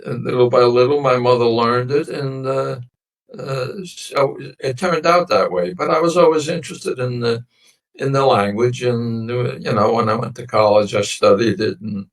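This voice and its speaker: Older man in his nineties, far from mic. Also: mid-range voice. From United States. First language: Sicilian dialect. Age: 90s. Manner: meandering, reflective storytelling with many pauses. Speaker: interview guest. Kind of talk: recounting family history. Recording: voice distant from the mic.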